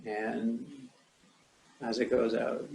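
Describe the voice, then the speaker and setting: A man leading a guided meditation as a teacher, speaking calmly and in a measured way with long pauses. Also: medium pitch, creaky, a little hiss or hum, rough recording.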